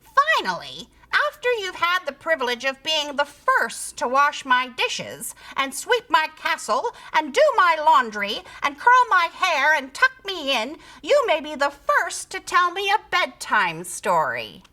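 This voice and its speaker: Storybook creature, small and female, bossy and cackling, played by a woman; high, sharp, swooping sing-song. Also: huge pitch swings, a little slow, hardly any pauses, exaggerated voice. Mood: bossy, gloating.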